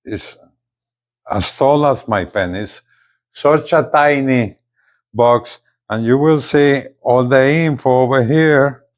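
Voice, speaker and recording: A man presenting a casual unboxing video, speaking haltingly and often repeating his words. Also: medium pitch. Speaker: gadget reviewer, video host.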